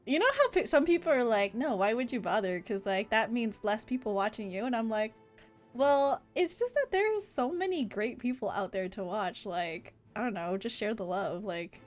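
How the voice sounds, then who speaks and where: A woman livestreaming a game, chatting casually in an animated, informal way. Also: a little high, normal speed, soft background music. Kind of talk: casual chat while streaming a game. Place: indoor livestream setup with game music behind.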